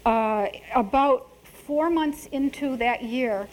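Middle-aged woman from the US, high voice, lively and self-deprecating storyteller. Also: slow, low-quality recording. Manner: animated, self-mocking, laughs at own jokes. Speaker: author giving a talk. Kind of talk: conversational public talk.

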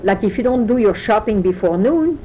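A woman's voice: medium pitch, expressive, moderate pace, lo-fi sound, slight background noise. An older woman from France with a French accent, telling her life story in a rambling, conversational way in an interview. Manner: rambling, conversational, many restarts. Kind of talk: oral history interview.

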